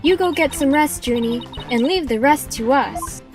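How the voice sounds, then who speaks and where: Woman playing a high-voiced, eager young lawyer. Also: normal speed, lightly acted. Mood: concerned. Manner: sincere, friendly, informal. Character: eager, caring young female rookie lawyer. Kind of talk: video game fandub. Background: prominent game music.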